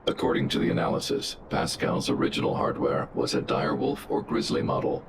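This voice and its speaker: An actor voicing a huge, stoic guardian robot that gives flat, logical reports in percentages, his voice processed to sound robotic. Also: fairly quick, noisy background. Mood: calm analytic. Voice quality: gravelly, flat mechanical delivery. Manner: cold analytic reports in percentages. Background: game music.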